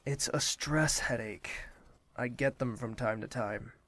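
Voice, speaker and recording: An actor playing a burdened young prince in an audio drama, speaking formally and introspectively with a weary manner. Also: male voice, mid-range voice, measured pace, a bit bright, dry recording. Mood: weary, reluctant.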